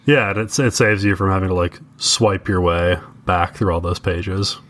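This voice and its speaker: A man's voice: sits low, steady tone, moderate pace, a bit bright. A man co-hosting a podcast, speaking in casual discussion. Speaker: podcast co-host.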